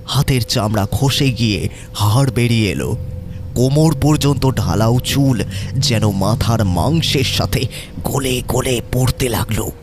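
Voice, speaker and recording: একজন পুরুষ কথক, টানটান রহস্যময় ভঙ্গিতে ধীরে ধীরে ভৌতিক গল্প বলেন।. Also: male voice, mid-range voice. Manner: suspenseful, measured. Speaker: narrator. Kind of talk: dramatic horror storytelling. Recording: music behind voice.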